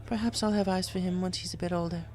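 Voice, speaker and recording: A man voicing a refined, poised female pony in a storybook reading, with a formal, polite and gently teasing manner. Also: sits high, steady tone, fast, faint music behind, voice pushed up, natural voice. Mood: warm, fond.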